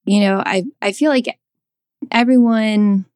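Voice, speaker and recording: Woman, 30s, podcast co-host, casual, chatty, mid pitch, dry. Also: steady tone, measured pace, a little mellow, clean tone, no room sound. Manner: chatty, many fillers and restarts.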